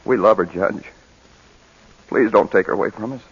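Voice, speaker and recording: An actor playing a humbled, loving young father who speaks in halting, emotional pleas in a 1940s radio drama. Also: fairly low pitch, husky. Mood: desperate pleading. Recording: muffled old radio broadcast recording.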